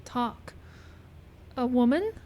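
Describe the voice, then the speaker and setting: A woman's voice: a little high, lively intonation, slow pace, lo-fi sound, slight background noise. A woman playing a dignified, brave young daughter in a radio western, speaking in short, simple phrases. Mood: solemn. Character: dignified, courageous young Pawnee daughter of a prisoner. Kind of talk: live old-time radio western acting.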